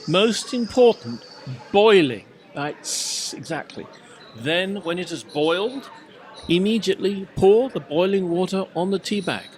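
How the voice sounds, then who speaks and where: Higher-voiced Englishman telling stories. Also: lively intonation, unhurried. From England. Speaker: podcast host. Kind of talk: casual anecdotes and mock-instructional chat.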